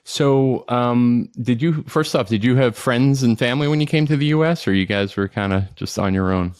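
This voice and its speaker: A man's voice: medium pitch, moderate pace, a little mellow, smooth, no room sound, clean studio sound. A man hosting a podcast interview, chatting casually and restarting his questions with lots of fillers. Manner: rambling, restarts with lots of "you know". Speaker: podcast host.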